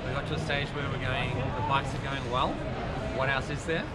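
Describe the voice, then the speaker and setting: A middle-aged Australian man running a family business, casually explaining his bikes and scooters at an expo stand, relaxed and a bit rambling. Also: mid-range voice, a little fast, over a noisy crowd. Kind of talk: casual promotional chat. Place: large indoor expo hall. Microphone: camera mic from close handheld camera. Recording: loud hall noise behind.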